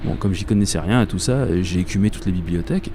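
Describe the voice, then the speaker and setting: A man's voice: sits low, fast talker, background noise. A man playing a dreamy, obsessive commuter who narrates a radio fiction in a colloquial, wry, confiding way. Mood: wry, musing. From Paris, France. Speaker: narrator and protagonist. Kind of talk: first-person radio fiction monologue.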